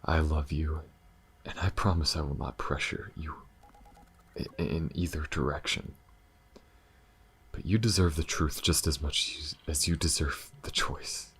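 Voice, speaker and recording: A man playing a gentle, battle-weary alien lover in an intimate ASMR roleplay, speaking softly and haltingly with a husky, breathy voice. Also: very deep voice, fairly even intonation, a little hiss or hum, full character voice. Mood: tender, earnest. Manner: soft, halting, hesitant, sighing delivery.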